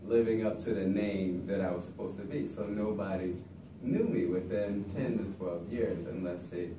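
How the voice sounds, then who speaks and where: A young man teaching about capoeira in a relaxed, conversational way, recorded from across a classroom. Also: low voice, normal speed. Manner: relaxed, conversational, frequent "you know". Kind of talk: informal classroom talk. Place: large classroom, indoors. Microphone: far camera. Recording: echoey room, distant mic, background noise.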